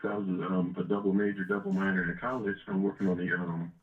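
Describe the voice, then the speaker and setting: A man with a deep voice calling in to a show, speaking hesitantly with fillers. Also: little pitch movement, fast. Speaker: caller. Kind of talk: phone-in chat. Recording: muffled phone line.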